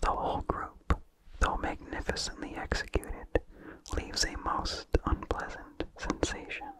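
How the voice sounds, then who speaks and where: A narrator reading a vintage horror story aloud in a soft, measured ASMR whisper. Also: low-quality recording.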